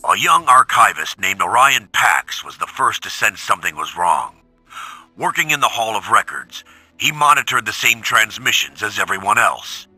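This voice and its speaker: Husky, weary robot war veteran. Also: male voice, lowish voice, very animated, moderate pace, pushed and bright, no echo, faint music behind, exaggerated voice, poor audio. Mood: solemn, earnest. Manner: solemn, reflective. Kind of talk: dramatic scripted audio log narration.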